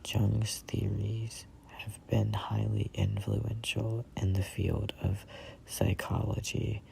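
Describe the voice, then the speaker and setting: A person in their twenties reading a book aloud as a whispered ASMR read-along, in halting, deliberate phrases. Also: low-pitched, very animated, gravelly, darkish tone, slight background noise, rough recording. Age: 20s. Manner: halting, deliberate phrasing with stumbles over words. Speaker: reader of a self-help book.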